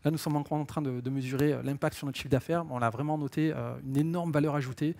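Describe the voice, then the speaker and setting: Higher-pitched man presenting business strategy. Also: fairly even intonation, fast, creaky, darkish tone. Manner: explanatory, peppered with English business jargon. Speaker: sales director giving a conference talk.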